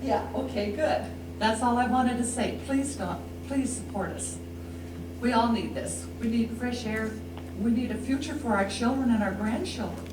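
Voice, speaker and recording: An American woman with a fairly high voice speaks as a local resident at a public meeting. She is plain and earnest, using short sentences. The sound is distant and echoey, with noticeable hum. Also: normal speed. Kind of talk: brief informal plea at a public meeting. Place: indoor council meeting room.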